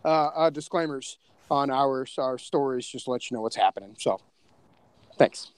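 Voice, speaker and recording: A man's voice: medium pitch, expressive, moderate pace, a little mellow, no echo. A man hosting a podcast, talking casually and informally with a group in slangy, chatty phrasing. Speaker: podcast host and co-creator. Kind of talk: casual group chat about a horror podcast.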